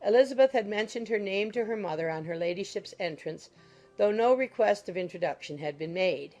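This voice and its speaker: A woman's voice: mid-range voice, animated, a little fast, darkish tone, soft background music. A woman reading scripted literary narration as an audiobook narrator.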